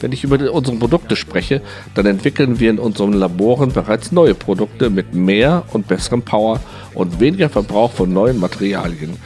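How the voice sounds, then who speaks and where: A man with a fairly low voice reads a translated interview as a voice-over. His delivery is scripted, and faint music plays behind him. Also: animated, a little fast, darkish tone.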